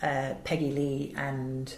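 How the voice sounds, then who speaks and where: A woman with an English accent talking as an interview guest, chatty and expressive, often breaking off and restarting. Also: very deep voice, takes it slowly, a crowd in the background. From England. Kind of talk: animated interview conversation. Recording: echoey room.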